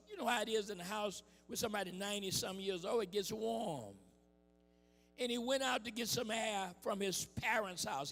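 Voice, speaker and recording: A hoarse man preaching an impassioned sermon to a men's gathering, calling for responses and shouting his points. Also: high-pitched, big-room sound. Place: church hall with music behind.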